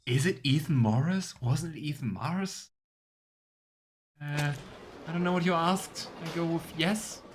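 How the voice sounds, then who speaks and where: A man's voice: fairly high pitch, a little slow, long pauses, a little hiss or hum. A man casually commenting on a game he is playing, joking and rambling. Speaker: let's play host. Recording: with game music behind.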